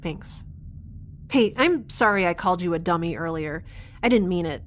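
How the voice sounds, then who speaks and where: A woman's voice: on the low side, expressive, normal speed, a little hiss or hum, close to an everyday voice. An actress playing a quirky, sleep-deprived young woman scientist in a sci-fi audio drama, chatty and rambling, often interrupting herself. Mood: weary, apologetic.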